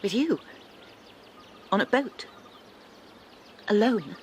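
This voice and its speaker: A woman's voice: mid-range voice, lively intonation, drawn-out words. An actress playing a prim, proper missionary woman, speaking formally and politely, sometimes flustered. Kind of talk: radio drama acting. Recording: noisy recording with audible hiss.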